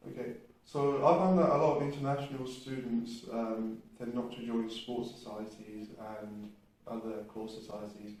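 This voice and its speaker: A man's voice: mid-range voice, fairly even intonation, moderate pace, a little mellow. A young man with an English accent giving casual, loosely phrased, hesitant interview answers as a recent graduate. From England. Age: young adult. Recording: echoey, distant mic, faint noise.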